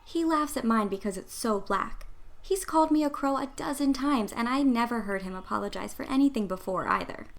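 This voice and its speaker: A woman voicing a gentle, admiring schoolgirl in a storybook, soothing and exclaiming in manner. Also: a little high, fairly quick, gravelly.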